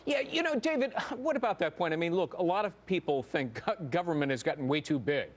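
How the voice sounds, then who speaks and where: News anchor, male, American accent, slightly high-pitched, presenting and moderating panel talk. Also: expressive, quick pace. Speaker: news anchor and legal segment host.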